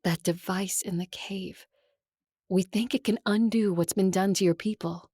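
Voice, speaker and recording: An actress playing an ancient, earnest and resolute vampire noblewoman in an audio drama, gravelly and husky, speaking in a measured, grave, confiding way. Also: medium pitch, steady tone, dark tone, no echo, studio quality. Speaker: voice actor and story narrator.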